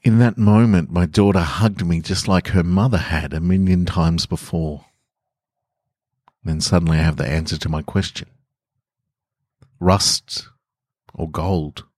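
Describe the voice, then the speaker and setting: A man reading a first-person story as a reflective, tender father, measured and earnest. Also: sits low, darkish tone, husky, no room sound, clean studio sound, exaggerated voice.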